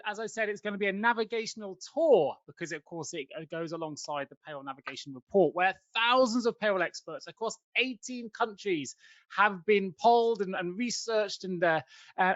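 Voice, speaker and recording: A man's voice: high voice, fairly bright tone, no echo, highs cut off. A man in his forties hosting a payroll webinar panel, upbeat and presenter-like, with frequent fillers. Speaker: host.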